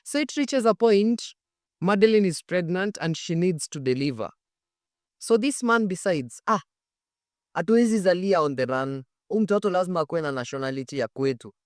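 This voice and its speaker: A man's voice: high-pitched, lively intonation, moderate pace, no echo. Kenyan man telling a story, dry studio sound. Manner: conversational, emphatic, repeats phrases for effect. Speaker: storytelling host. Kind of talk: casual dramatic storytelling to camera.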